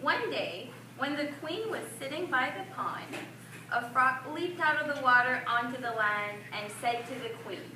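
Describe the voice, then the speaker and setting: A woman's voice: high-pitched, animated, a little slow, bright, forceful tone. A woman telling a story to young children as the narrator of a puppet show, in an instructive way. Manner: instructive, prompts listeners with questions. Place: public library event room. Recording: echoey room from far off, noisy.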